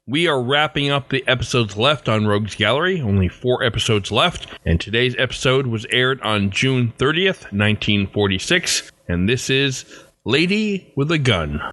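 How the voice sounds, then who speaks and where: A man hosting a podcast from a script. His voice is medium in pitch, and the sound is dry and close. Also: lively intonation, normal speed, a bit bright, no room sound. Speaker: podcast host. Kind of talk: scripted presentation of an old-time radio podcast.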